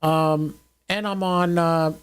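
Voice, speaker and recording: A man's voice: fairly high pitch, steady tone, slow, clear, resonant tone. A man in his seventies hosting a livestream, chatting casually with viewers in a folksy, joking, rambling way. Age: 70s. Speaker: host of a ham radio livestream.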